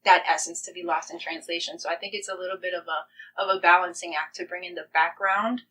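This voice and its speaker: A woman's voice: on the low side, fairly even intonation, fast talker, pushed and bright, distant mic, low-quality recording. A woman talking earnestly and reflectively as a guest in a podcast interview, stressing points by repeating them. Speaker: playwright guest.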